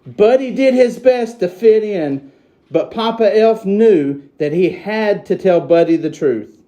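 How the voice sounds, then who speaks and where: A man playing an excitable, childlike Christmas elf who reads a storybook to children with slow, theatrical delivery. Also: high-pitched. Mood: cheerful, earnest.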